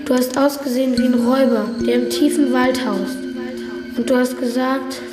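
Woman as high-voiced, anxious small child in echo. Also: steady tone, moderate pace, distant mic, close to an everyday voice. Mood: dreamy, uneasy. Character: small, anxious, trusting child calling for an absent father. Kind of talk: German radio drama acting. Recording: roomy echo, music underneath.

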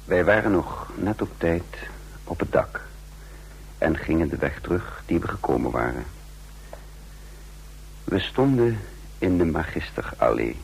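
A composed secret agent with a deep voice. He speaks formally and deliberately, as narrator and character in a radio drama. The old recording carries faint noise. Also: expressive, gravelly, heavily acted, poor audio. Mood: reflective. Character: composed male intelligence agent recounting his secret mission.

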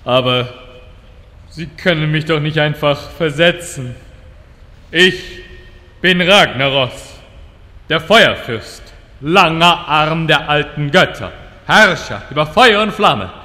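An actor playing a huge fire lord in a comedic fantasy audio drama, grandiose and theatrical, sulking like a hurt child. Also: male voice, on the high side, animated, sharp tone, full character voice. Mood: pompous, indignant. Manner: grandiose, tearfully sulky, drawn-out declaiming. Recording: long hall reverb.

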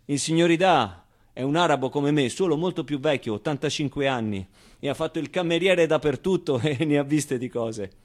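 Theatre monologue: male actor as wry, tender orphan boy, higher-pitched voice, confiding and conversational. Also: brisk, lightly acted. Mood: wry, candid. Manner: conversational, hesitant interjections, emphatic repetitions. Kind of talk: theatrical monologue, intimate storytelling.

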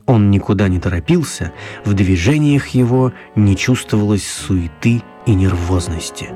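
A man narrating a children's radio story in a measured, expressive storytelling manner. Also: lowish voice, lightly acted.

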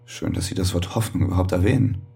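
A husky, weary prisoner. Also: male voice, low voice, fast talker, mellow, full character voice. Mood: guarded. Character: weary, wrongly jailed male prisoner. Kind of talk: radio drama acting.

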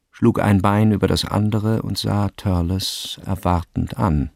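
Gravelly male radio-play narrator. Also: lowish voice, a little mellow, no echo, studio quality.

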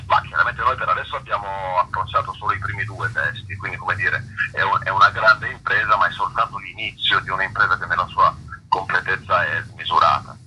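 Low, sharp-voiced man in thoughtful interview. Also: slight background noise, poor audio. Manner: articulate, reflective, searches for words with pauses and repetitions. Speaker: theatre actor and director being interviewed. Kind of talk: interview talk about a theatre production.

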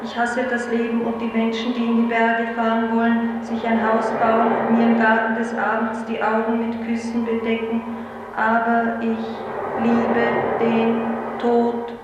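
A woman's voice: on the high side, completely flat delivery, unhurried, lo-fi sound, over loud music, close to an everyday voice. An actress playing a dreamy, wilful young woman who yearns for the sea, lyrical and declamatory in 1950s German radio play style. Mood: cold rapture. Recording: roomy, hissy 1950s radio drama recording.

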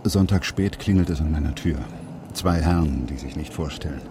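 An actor playing an anxious, secretive surgeon and father in a radio crime drama, speaking gravely in terse, halting sentences. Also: very deep voice, noisy background. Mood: tense, factual.

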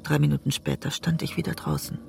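An actor in a literary radio drama playing a weary, wounded writer, reflective and restrained in narration and dialogue. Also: male voice, on the high side, big pitch swings, fast, gravelly, darkish tone, exaggerated voice. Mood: reflective, melancholy.

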